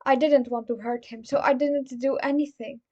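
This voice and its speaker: A woman's voice: high voice, fairly even intonation, normal speed, darkish tone. A woman reading a story aloud in the first person, as dramatic narration. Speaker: fan fiction reader. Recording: dull lo-fi recording.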